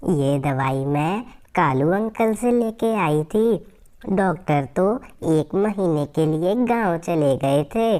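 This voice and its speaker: A woman voicing a gentle, worried mother bird with a soothing, polite manner in a cartoon story. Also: on the low side. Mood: calm. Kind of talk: Hindi moral-story cartoon dialogue.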